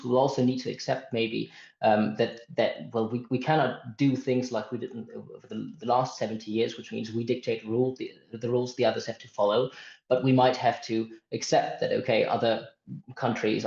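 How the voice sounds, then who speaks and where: A man's voice: medium pitch, vocal fry, a little mellow. A man in his twenties from Germany speaking as a panelist, thoughtful and hesitant with many restarts. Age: 20s. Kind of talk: panel discussion. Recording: echoey and muffled.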